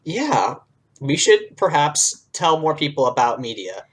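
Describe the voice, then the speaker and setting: Low-pitched woman podcaster, dryly joking. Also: sing-song, measured pace, slight background noise. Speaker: podcast co-host. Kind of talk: casual banter between friends.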